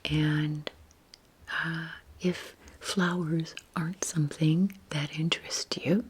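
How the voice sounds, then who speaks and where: An older woman in her sixties whispers softly and breathily, rambling informally and unhurriedly in a relaxation ASMR style, with a self-deprecating manner. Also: deep voice, flat delivery, takes it slowly, a little hiss or hum. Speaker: YouTube video creator.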